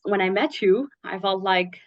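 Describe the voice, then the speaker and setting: A woman's voice: medium pitch, normal speed, dry recording. A woman hosting a casual interview, responding in an appreciative, reflective way, recorded in slightly dull, lo-fi audio. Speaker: interview host.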